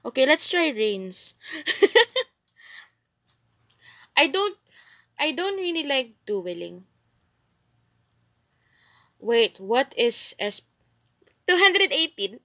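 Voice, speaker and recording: A woman's voice: high voice, very animated, takes it slowly, long pauses, sharp tone, dry recording, studio quality. A young woman with a Filipino accent, chatting playfully and giggling as she streams herself playing a game. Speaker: gaming streamer. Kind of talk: live gameplay commentary and chat.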